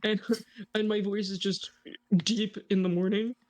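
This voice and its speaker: A man's voice: sits high, little pitch movement, measured pace. A man co-hosting a reaction video, casually analysing a song and joking with his audience. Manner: chatty, jokey, points things out to the audience.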